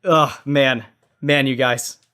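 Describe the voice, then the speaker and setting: A man's voice: mid-range voice, steady tone, takes it slowly, pushed and bright, smooth. A man giving casual, long-winded explanations as the judge on a comedy debate podcast.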